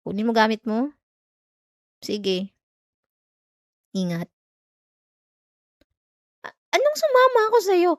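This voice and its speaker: High-voiced sulky Filipino girlfriend played by an actress. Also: huge pitch swings, takes it slowly, pauses often, no echo, clean studio sound, heavily acted. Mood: curt, annoyed, then exasperated, startled. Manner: defensive, clipped, teasing Taglish. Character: jealous, sulky young student girlfriend, easily irritated. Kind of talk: ASMR girlfriend roleplay.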